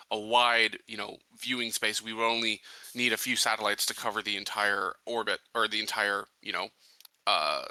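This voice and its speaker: Man playing bright, forceful colony security chief. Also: on the low side, dry recording, poor audio. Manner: halting, explanatory, reasoning aloud. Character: practical male colony security chief addressing his council. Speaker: player in a tabletop roleplaying game. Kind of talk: improvised in-character tabletop roleplay.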